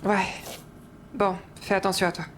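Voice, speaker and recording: A woman's voice: mid-range voice, little pitch movement, moderate pace, a bit bright, slight background noise, lightly acted. A woman playing a practical mother who farms, chatting casually with her daughter in an audio drama.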